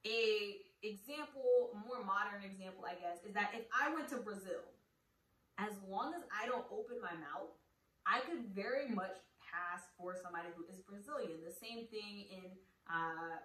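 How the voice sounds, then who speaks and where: A woman's voice: medium pitch, moderate pace, a bit bright. An American woman hosts a solo podcast, talking things through in a loose, conversational way. She leans on fillers and rhetorical questions as she explains. Her voice comes from a little way off the mic in a roomy, echoey space. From United States. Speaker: podcast host. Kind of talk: casual solo podcast teaching. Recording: roomy echo, somewhat far from the mic.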